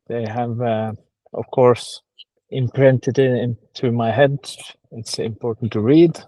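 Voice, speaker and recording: Hesitant soft-spoken man from Norway. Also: mid-range voice, fairly even intonation, slow pace, no echo, rough recording. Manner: hesitant, many fillers and restarts. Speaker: guest writer. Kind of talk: casual video-call interview.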